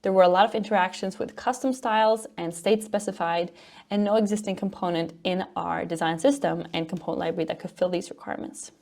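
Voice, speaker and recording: A woman's voice: fairly low pitch, fairly even intonation, a little fast, gravelly, darkish tone. A woman from Norway, presenting a technical conference talk in an upbeat, informal explaining way. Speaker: conference speaker, front-end developer and educator.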